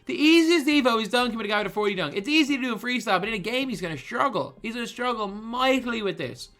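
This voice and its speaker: A man's voice: very high-pitched, expressive, quick pace, fairly bright tone. Young man ranting with a lisp. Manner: exasperated, ranting. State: swollen tongue. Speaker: gaming streamer. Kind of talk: ranting gameplay commentary.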